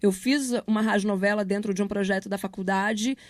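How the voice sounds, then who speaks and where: A woman's voice: mid-range voice, fairly even intonation, a little fast, no echo. A Brazilian woman giving casual interview answers, explaining things in an informal, slangy way as a project leader and teacher.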